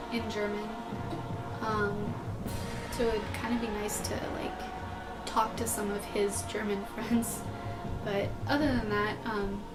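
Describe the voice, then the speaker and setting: A young woman with an American accent and a fairly high voice talks casually to her viewers. She rambles through question-and-answer chat in an informal, self-deprecating way, with music clearly playing behind her. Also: low-quality recording. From California, United States. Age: young adult. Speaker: video blogger answering tag questions. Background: background music.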